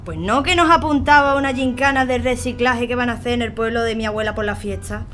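Casting: woman playing nervy student in her youth, high voice, slangy and chatty, audio drama with noisy ambience. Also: fast, fairly bright tone, close to an everyday voice. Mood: chatty, flustered. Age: young adult. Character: Mónica, chatty, nervy young student learning to drive. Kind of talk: naturalistic audio drama dialogue.

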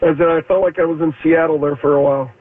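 A male guest expert with a slightly scratchy, allergy-worn voice. He talks haltingly and fills pauses with ums and uhs. He sits a touch high, heard over a tinny phone line. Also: fairly even intonation, no echo. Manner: hesitant, many fillers. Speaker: foundation repair expert guest.

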